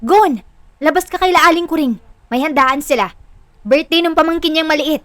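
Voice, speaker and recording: A woman's voice: sits high, normal speed, a bit bright, no room sound. A woman with a Filipino accent playing a friendly, chatty neighborhood auntie in an audio drama, speaking informally. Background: music.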